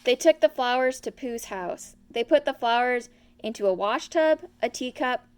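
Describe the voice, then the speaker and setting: A woman's voice: on the high side, animated, normal speed, smooth. A woman narrating a children's storybook from a script as the reader.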